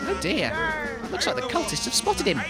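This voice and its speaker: A man's voice: very high-pitched, huge pitch swings, normal speed, over loud music, heavily acted. A man playing a polite, fussy, flustered radio presenter giving hesitant live commentary in a comedy audio drama. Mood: worried. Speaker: radio host character.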